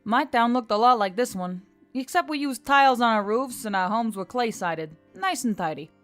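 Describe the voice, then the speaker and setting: A woman's voice: on the high side, lively intonation, moderate pace, a bit bright, soft background music. A woman voicing a brash, protective female centaur with a blunt, wry and casual way of talking in a fantasy story. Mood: easygoing.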